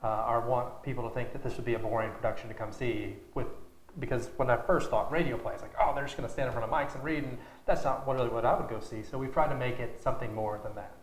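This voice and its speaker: A man being interviewed as a theater director, explaining things plainly and a little haltingly in casual talk. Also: mid-range voice, fairly even intonation. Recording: roomy echo, faint background noise.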